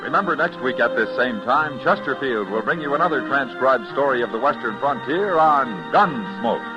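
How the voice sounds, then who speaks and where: An American man announcing scripted credits in a formal, measured 1950s radio style. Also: mid-range voice, expressive. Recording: dull old radio recording with music.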